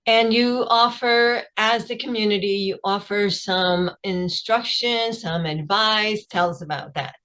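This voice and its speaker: A woman hosting a podcast, reading a short introduction and then asking her guest questions in measured, slightly formal phrasing. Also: mid-range voice, a bit bright. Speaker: podcast host.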